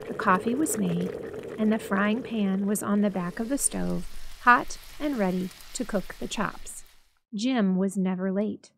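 Creaky-voiced woman reading a story. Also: mid-range voice, low-quality recording. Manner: expressive, measured storytelling. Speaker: library staff story reader. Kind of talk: dramatic scripted story narration.

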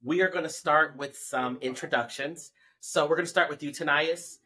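A man in his thirties hosts a casual podcast panel at home. He talks in a relaxed, chatty way, laughing easily and stopping to explain terms for the listeners. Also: a little high, normal speed. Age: 30s. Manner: laid-back, laughing, explaining. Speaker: podcast host.

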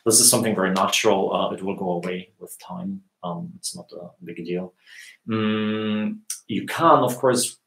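A man in his thirties answering viewers' questions in a relaxed live lesson, pausing often with fillers, on a webcam in a small room. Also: low voice. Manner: relaxed, thinking aloud with many fillers. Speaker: language teacher. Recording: echoey room.

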